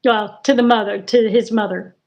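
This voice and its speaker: A woman in her sixties, a guest on a casual livestream chat, talking in an unhurried, rambling way. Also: mid-range voice, steady tone, darkish tone. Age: 60s. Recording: dull, lo-fi call audio.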